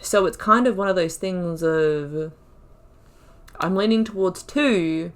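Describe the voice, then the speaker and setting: A woman's voice: on the low side, slow. A woman hosting a gaming video, thinking aloud in casual, informal, wry commentary as she talks through the story.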